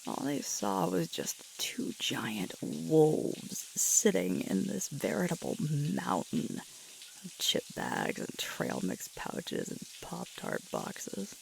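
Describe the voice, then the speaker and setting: A woman playing a sweet, tired young alpha werewolf girlfriend in an intimate ASMR audio roleplay, breathy and halting, often dropping toward a whisper. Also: deep voice, wildly swooping pitch, exaggerated voice, poor audio. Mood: wry, relaxed reminiscing. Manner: halting, intimate, with sighs and murmurs. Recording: loud hissing shower water throughout. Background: running shower water.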